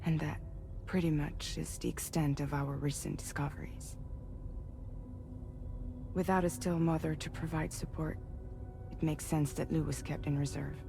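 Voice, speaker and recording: An actress playing a composed, caring investigator in a video game, giving a serious, measured briefing. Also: female voice, low-pitched, little pitch movement, creaky, music in the background. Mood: serious, explaining.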